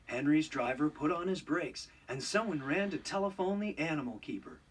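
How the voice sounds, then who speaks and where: A man narrating a children's read-along storybook in an expressive, scripted storytelling style. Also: on the high side, moderate pace, husky, far from the mic.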